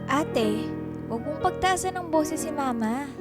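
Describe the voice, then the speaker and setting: An actress playing a sassy, defiant Filipino teenage younger sister who talks back in a radio drama. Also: female voice, sits high, expressive, a little slow, over loud music. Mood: scolding, dismissive. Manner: cheeky, talking back.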